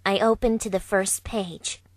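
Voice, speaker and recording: Measured woman playing a composed young narrator. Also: medium pitch, slight background noise, natural voice. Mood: grave, hushed. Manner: calm, deliberate, measured reading. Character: composed young woman recounting events thoughtfully. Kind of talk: scripted visual novel narration. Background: soft background music.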